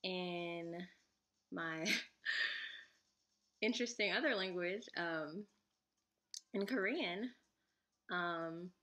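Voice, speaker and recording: Giggly young woman, bright lower voice. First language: Igbo. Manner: chatty and giggly, lots of fillers. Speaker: vlogger. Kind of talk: casual chat to camera.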